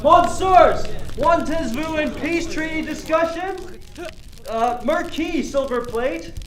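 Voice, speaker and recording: Man as a high, excitable Canadian policeman. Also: takes it slowly, a crowd in the background. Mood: nervous, panicked. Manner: tags sentences with "eh". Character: silly, excitable, polite Canadian policeman sidekick. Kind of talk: comic radio play acting.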